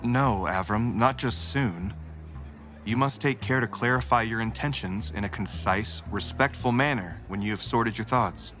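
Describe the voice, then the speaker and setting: An actor in an audio drama playing a stiff, principled lieutenant who speaks in formal, precise, courteous phrases. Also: male voice, lowish voice, a bit bright, faint music behind, close to an everyday voice. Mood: earnest, admonishing. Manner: formal, precise, measured wording.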